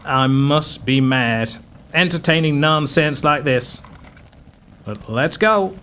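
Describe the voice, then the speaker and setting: A man's voice: a little high, lively intonation, slow, fairly bright tone, slight background noise. A man playing a brusque, impatient, dismissive President of the United States in an audio drama. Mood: grudging. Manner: dismissive, commanding. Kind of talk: fan-made audio drama acting.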